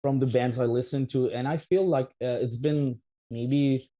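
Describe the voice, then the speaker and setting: A man's voice: mid-range voice, steady tone, normal speed, dark tone. A man in his thirties with a Québécois French accent, a metal musician answering interview questions in a rambling way, full of restarts and fillers. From Quebec, Canada. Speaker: metal band musician, guest.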